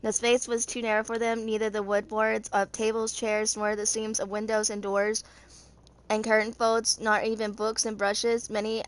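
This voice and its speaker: Woman, 20s, amateur narrator. Higher-pitched, monotone delivery, halting read of fantasy game lore. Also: poor audio. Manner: halting, stumbling reading. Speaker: fan video creator. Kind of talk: reading game lore aloud.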